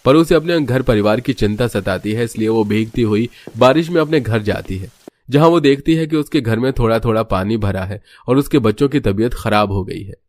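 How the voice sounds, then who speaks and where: A man's voice: medium pitch, a little fast, a little mellow. A man narrating a scripted cartoon story as its storyteller.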